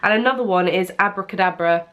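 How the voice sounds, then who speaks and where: A woman's voice: medium pitch, fast talker, a bit bright. A young British woman vlogging at home, talking casually to a camera propped on an ironing board, her nose blocked with a cold and her talk full of ums. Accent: British English. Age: young adult. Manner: chatty, rambling, many ums. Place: at home, indoors.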